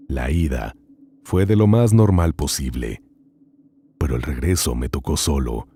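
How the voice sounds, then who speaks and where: A man narrating horror stories as host, in a slow, hushed, dramatic reading with long pauses. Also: low voice, breathy edge.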